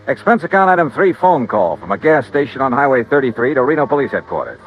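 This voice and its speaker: An actor playing a brisk, confident, wry insurance investigator in 1950s radio style, with clipped delivery. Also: male voice, mid-range voice, sing-song, soft background music. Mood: brisk narrating. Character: brisk, hard-boiled male freelance insurance investigator. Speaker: lead actor in a radio detective drama. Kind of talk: 1950s radio acting, first-person narration and dialogue. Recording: muffled old radio recording.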